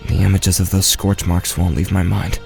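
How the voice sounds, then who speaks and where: Man acting a low-voiced, shaken teenage boy. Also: level tone, moderate pace, vocal fry, over loud music. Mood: anguished, subdued. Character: shaken, subdued teenage boy narrating his own story. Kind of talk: first-person audio drama narration and dialogue.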